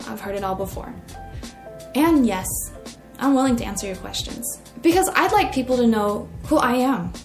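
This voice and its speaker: A young woman with an American accent narrating a personal essay in an expressive way as a podcast host. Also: fairly high pitch. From United States. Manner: expressive, acts out quoted remarks. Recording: roomy echo, faint music.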